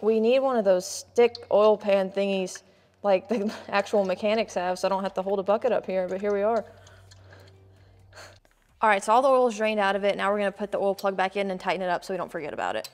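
A woman casually narrating her own car maintenance in her home garage, chatty and quick to laugh off her own mishaps. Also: mid-range voice, steady tone, darkish tone. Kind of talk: casual how-to narration while working. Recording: echoey shop with long reverb.

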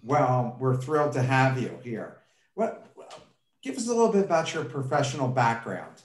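A man's voice: medium pitch, sing-song, normal speed. A middle-aged man hosting an interview over a video call, reading friendly introductions and asking questions. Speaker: podcast host. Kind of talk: interview host. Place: office indoors. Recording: roomy echo on a video call.

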